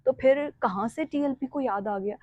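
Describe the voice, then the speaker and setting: A woman's voice: high voice, moderate pace, dark tone. A woman with a Pakistani accent giving current affairs commentary as a vlogger, in an emphatic way. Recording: echoey room, slightly dull sound.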